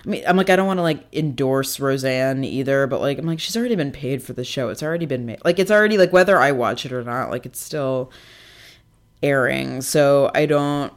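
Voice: mid-range voice, brisk. An American co-host chatting casually and unscripted about television, speaking in a hesitant, rambling way peppered with "like". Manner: hesitant, rambling, heavy use of "like". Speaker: co-host of a TV discussion show.

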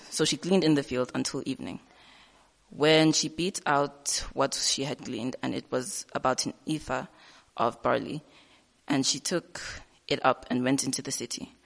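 A man reading a Bible passage aloud at a church service, steady but with small slips he corrects. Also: medium pitch, gravelly. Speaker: scripture reader. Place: church hall. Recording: reverberant church hall.

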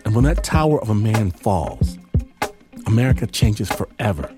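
A man hosting a podcast, delivering a scripted narrative introduction with dramatic, deliberate phrasing. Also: on the low side, very animated. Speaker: podcast host. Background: music.

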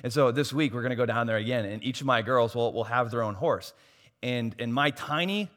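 A pastor preaching a sermon, losing his voice and swinging from soft asides to loud, emphatic points. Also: fairly high pitch. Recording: long hall reverb.

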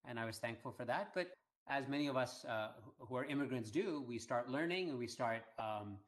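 A middle-aged man who grew up in Iran, speaking as an interview guest in a measured way with frequent fillers. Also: medium pitch, expressive. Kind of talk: conversational interview.

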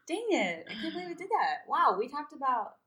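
A woman hosting a casual chat, talking in a lively way with many fillers. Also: high-pitched, roomy sound. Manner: chatty, lively, lots of "like". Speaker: host.

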